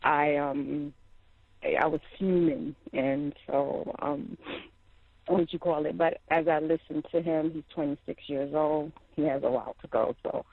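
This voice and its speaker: A woman's voice: low-pitched, steady tone, no room sound. A young adult woman from Liberia, calling in to a talk show and speaking with passion, with many fillers and restarts. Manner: impassioned, halting with many fillers. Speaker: caller. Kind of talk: phone-in call to a talk show. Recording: tinny dry phone line.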